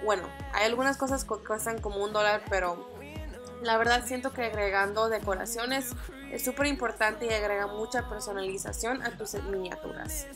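A woman's voice: medium pitch, moderate pace, over music. A young woman whose first language is English, chatting upbeat through a screen-recorded tutorial with small fillers and restarts. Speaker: beauty vlogger.

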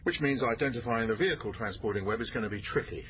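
A man's voice: medium pitch, fast talker, lightly acted. A man playing a businesslike senior police detective in a naturalistic radio drama. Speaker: actor in a crime drama. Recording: dull radio recording, faint noise.

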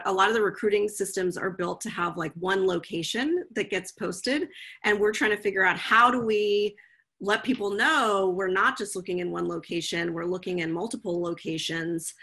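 A woman's voice: medium pitch, moderate pace, sharp tone. A woman guest speaking thoughtfully and casually over a video call from home. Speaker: guest, legal professional. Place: home room, indoors.

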